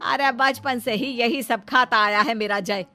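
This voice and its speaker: A woman playing a doting, stubborn middle-aged mother-in-law in a cartoon story, with exclaiming, drawn-out homely speech. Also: a little high, steady tone, sharp tone, soft background music. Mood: scolding. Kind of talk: Hindi cartoon story acting.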